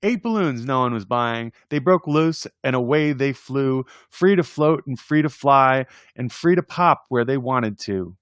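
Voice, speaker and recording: A man hosting a casual read-aloud of children's poems, playful and expressive in verse, with informal chatty asides. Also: mid-range voice, measured pace, few pauses, clean tone, dry recording, studio quality. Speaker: host reading poems aloud on a livestream.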